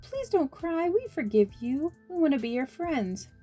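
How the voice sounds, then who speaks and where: A woman's voice: high-pitched, sing-song, moderate pace, dark tone, faint music behind, voice pushed up. A woman voicing a kind, sensible young girl who comforts and reassures, in a storybook.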